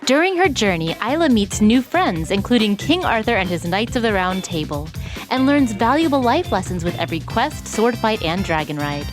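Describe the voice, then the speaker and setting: Woman announcer, mid pitch, scripted upbeat promo, clear music bed. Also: big pitch swings, normal speed. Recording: music under the voice.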